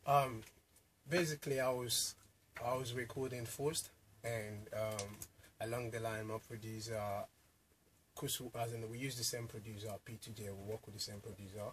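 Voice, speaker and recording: A man's voice: on the low side, little pitch movement, moderate pace, fairly bright tone, a little hiss or hum, rough recording. A man from Nigeria, an artist guest on a radio show, easygoing with short replies. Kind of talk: casual radio interview. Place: radio studio.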